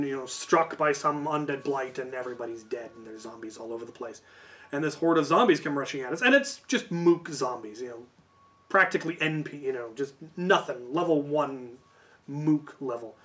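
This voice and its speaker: A man telling a casual, animated story about a tabletop game, as a host who acts out quoted lines. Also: fairly high pitch.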